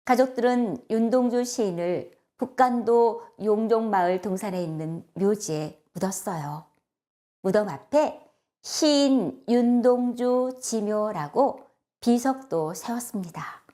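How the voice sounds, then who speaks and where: A woman telling a scripted story to children as a storyteller, in a gentle, instructive and expressive way. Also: mid-range voice, a little slow.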